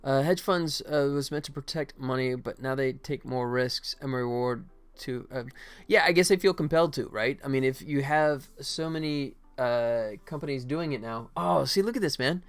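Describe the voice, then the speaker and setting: A man's voice: mid-range voice, normal speed, few pauses, clear, resonant tone. A man live streaming as a game developer, chatting casually with viewers and muttering to himself as he works. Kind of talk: casual live stream chat while working.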